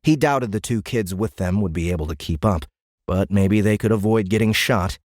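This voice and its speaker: A man with a lowish voice reads dramatic science fiction prose in measured, grave phrases. The recording is very dry and close, like a studio booth. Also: a little mellow, dry recording. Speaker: audiobook narrator. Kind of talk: dramatic scripted narration of military science fiction.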